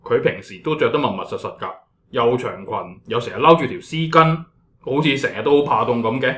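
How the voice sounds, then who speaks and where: A man's voice: medium pitch, a little fast, fairly bright tone, lightly acted. A man playing an exasperated, no-nonsense church leader in a comedic radio drama, blunt and colloquial, with a Hong Kong Cantonese accent.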